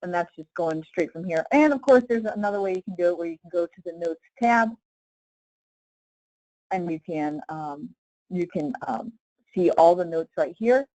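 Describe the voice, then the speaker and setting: A woman leading a casual live software tutorial as a trainer, explaining step by step in an informal way with frequent check-in questions. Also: fairly low pitch, lively intonation, normal speed, no room sound, rough recording.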